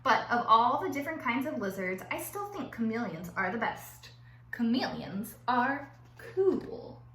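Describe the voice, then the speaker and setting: A woman teacher reading aloud to children in an expressive, playful way, drawing out words for emphasis and adding chatty asides. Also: fairly high pitch, measured pace. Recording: roomy echo with faint background noise.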